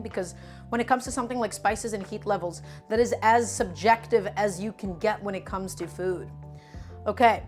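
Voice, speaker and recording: A woman's voice: mid-range voice, darkish tone. A woman whose first language is Russian, casually demonstrating a recipe in her home kitchen on a live stream and chatting with viewers as she works. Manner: chatty, answers viewer chat while cooking. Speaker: cooking streamer.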